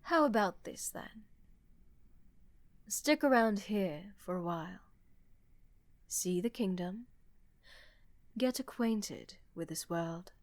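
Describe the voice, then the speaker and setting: A woman playing a sly, teasing, confident empress in a fantasy audio roleplay, with a smooth-talking manner. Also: mid-range voice, lively intonation, slow pace, pauses often, no room sound. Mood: amused, friendly.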